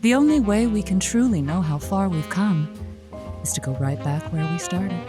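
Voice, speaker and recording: An actress playing a sardonic, flirtatious late-night radio host in an audio comedy, teasing and theatrical. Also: female voice, lowish voice, animated, normal speed, music in the background, natural voice. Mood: sultry, playful.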